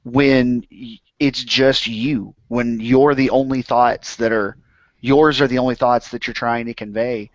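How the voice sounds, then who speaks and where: A man's voice: mid-range voice, fairly even intonation, a little slow, no echo. A man hosting a podcast in casual chat, easygoing and hesitant. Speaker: podcast host. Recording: dry, dull lo-fi recording.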